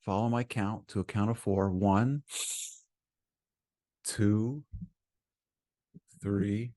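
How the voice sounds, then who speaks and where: A man leading a guided meditation as a teacher, speaking gently and soothingly in short phrases with frequent pauses. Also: on the low side, steady tone.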